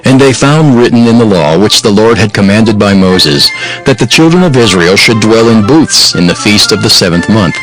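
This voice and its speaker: A man narrating a dramatized scripture reading in a solemn, measured and formal style. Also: lowish voice, animated, fairly bright tone, soft background music, low-quality recording.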